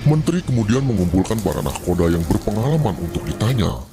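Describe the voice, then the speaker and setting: A man's voice: lowish voice, big pitch swings, fast talker, music in the background. A man reading a scripted narration in the style of legendary storytelling.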